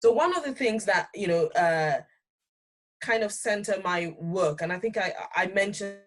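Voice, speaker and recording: A woman academic speaking unscripted on a panel, explaining things in a thoughtful, halting way. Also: mid-range voice.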